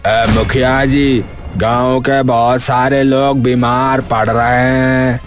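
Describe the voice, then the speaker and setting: A man voicing a slow, flat-toned villager. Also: mid-range voice, over music, natural voice. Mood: worried. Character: worried male villager.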